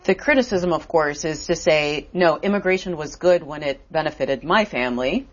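Deep-voiced woman interviewer. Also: lively intonation. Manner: halting questions with many fillers. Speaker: interview host. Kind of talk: interview questions. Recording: dull lo-fi audio.

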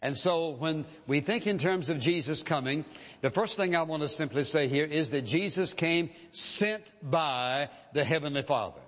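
A man preaching a sermon as a pastor, measured and emphatic, repeating key phrases for weight. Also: fairly high pitch, animated, fairly bright tone. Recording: dull lo-fi sound with long hall reverb.

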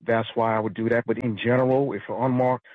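A man from New York City calling into a radio show, speaking in a measured, matter-of-fact way. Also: mid-range voice, flat delivery, dry recording. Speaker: caller, retired federal officer. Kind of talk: phone-in commentary. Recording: tinny phone line.

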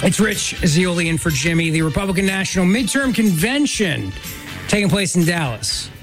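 Male talk radio host with a stuffed-up nose, chatty and self-mocking, voice on the higher side. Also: expressive, fairly bright tone, faint music behind. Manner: chatty, self-deprecating, quick asides. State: stuffy from a sinus infection.

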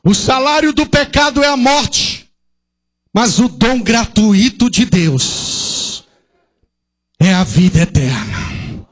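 A man's voice: very high voice, animated, slow, long pauses. A male pastor preaching an impassioned sermon at a church service, asking rhetorical questions and calling out hallelujahs.

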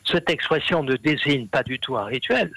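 A man's voice: fairly high pitch, expressive, moderate pace, pushed and bright, breathy edge, no echo, poor audio. A man explaining ideas at length in an interview, with many fillers and restarts. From half Brazilian. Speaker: interview guest, scholar.

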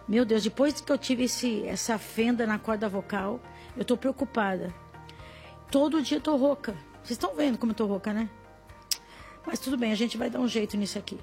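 A hoarse woman with a Brazilian accent hosting a faith-based show, chatty, reading listener messages and giving health tips. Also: fairly high pitch, moderate pace, soft background music. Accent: Brazilian Portuguese. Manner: warm, chatty, addresses listeners as brothers and sisters. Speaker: radio-style program host.

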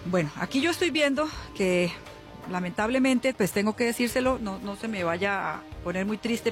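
A woman hosting a radio call-in as a spiritual healer, speaking to callers in a familiar, consoling way. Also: medium pitch. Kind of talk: live call-in psychic consultation and promotion. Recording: music bed behind voice.

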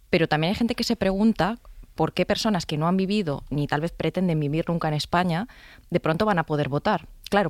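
Woman, radio commentator, rapid, dry studio sound. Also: low-pitched, no echo. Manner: fast, forceful argumentation. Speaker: political commentator. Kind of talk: radio panel discussion. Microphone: studio microphone.

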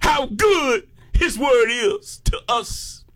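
An American man preaches with fervor in a very high-pitched voice. He takes his time over each phrase and delivers it as an impassioned sermon, punctuated with exclamations of praise. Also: lively intonation, extremely slow, a bit bright. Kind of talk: fervent sermon with call and response.

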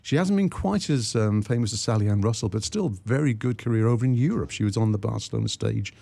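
A man's voice: on the low side, expressive, fast talker, vocal fry, warm tone, no echo. A man who grew up in Wales, hosting a radio show and chatting enthusiastically with a guest. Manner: enthusiastic, chatty, leading questions. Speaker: radio host. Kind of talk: radio interview.

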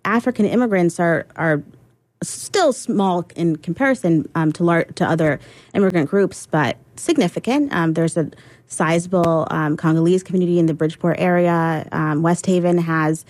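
A woman guest on a radio interview, a poet and teacher, answering reflectively with frequent pauses and restarts. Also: on the low side, darkish tone, no room sound. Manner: reflective, hesitant, many ums and restarts.

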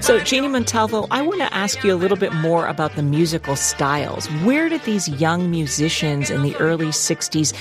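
A woman hosting a radio interview, asking questions and reading measured scripted narration. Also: on the low side, very animated, over music. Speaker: radio show host.

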